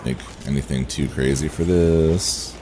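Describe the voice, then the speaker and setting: A man with a low, relaxed voice talks casually through a game livestream. He thinks aloud and chats directly to his viewers, with clear background noise behind him. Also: measured pace. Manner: chatty, thinking aloud, addressing viewers by name. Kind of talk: casual livestream chat over gameplay.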